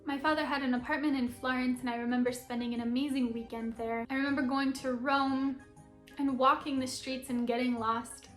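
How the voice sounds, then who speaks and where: An enthusiastic young woman from Philadelphia chats brightly to camera about her channel. Her voice sits high and rings around an echoey room. Soft music plays faintly underneath. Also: flat delivery, a little fast. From Philadelphia, United States. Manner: enthusiastic, bubbly, laughs often. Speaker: vlogger and Italian teacher. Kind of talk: upbeat vlog introduction to camera.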